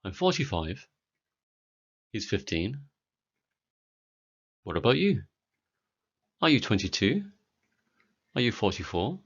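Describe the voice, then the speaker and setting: A British man in his forties teaching a beginner English lesson, speaking clearly and deliberately for learners. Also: on the low side, very animated, highs cut off. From Britain. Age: 40s.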